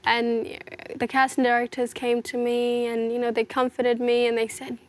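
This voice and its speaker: A woman's voice: on the high side, monotone, normal speed. A young woman from South Sudan, a fashion model, answering interview questions in an earnest, reflective way, often adding "you know". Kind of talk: casual personal interview.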